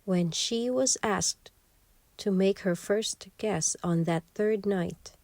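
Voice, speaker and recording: Slow, measured woman storyteller. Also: medium pitch, darkish tone, no echo. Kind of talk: bedtime story read-aloud narration.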